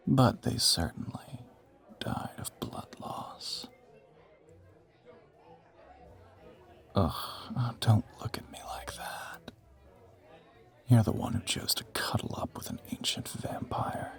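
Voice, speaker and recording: A low, half-whispered male voice for an ancient vampire played by a man in an intimate audio roleplay. He is suave and smug, choosing formal, theatrical words to tease his listener. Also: creaky, heavily acted. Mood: dark, quiet.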